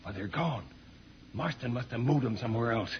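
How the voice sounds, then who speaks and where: An actor playing a grumbling, wisecracking, folksy sidekick in an old-time radio space serial. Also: male voice, mid-range voice, brisk, close to an everyday voice. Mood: urgent, gruff talk. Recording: muffled old radio recording, clear hiss.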